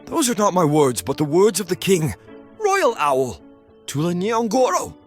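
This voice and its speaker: A man's voice: high-pitched, big pitch swings, unhurried. A man playing a pompous, condescending owl politician with a menacing streak in an audio play. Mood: grandiose warning. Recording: music behind the voice.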